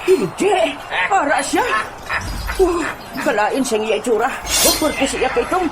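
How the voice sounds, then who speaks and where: A woman plays an excitable leader of sword fighters in a comedic audio drama. The voice is very high, eager and theatrical, with music playing behind it. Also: measured pace. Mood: boastful, urgent. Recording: loud music bed behind voice.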